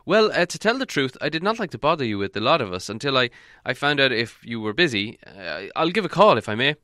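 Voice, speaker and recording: A man voicing an old wizard for a storybook, courteous and cagey, with a polite, halting way of explaining himself. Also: mid-range voice, very animated, pushed and bright, dry recording, studio quality, voice pushed up. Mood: apologetic, hesitant.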